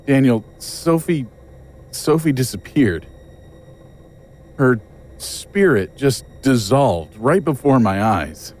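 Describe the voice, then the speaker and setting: A man acts out a world-weary occult investigator in an audio drama. He sounds bewildered and defensive, his pitch moving widely as he speaks with agitated emphasis. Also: lively intonation, slow pace, pauses often, a little mellow. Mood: bewildered, distressed.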